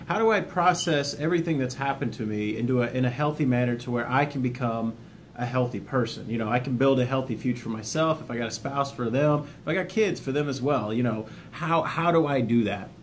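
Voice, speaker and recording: Folksy man talking over rumbly noise. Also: fairly quick. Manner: folksy, plainspoken, jokey asides, addresses viewers directly. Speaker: video host, army veteran sharing self-help lessons. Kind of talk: informal video talk, partly reading a book overview.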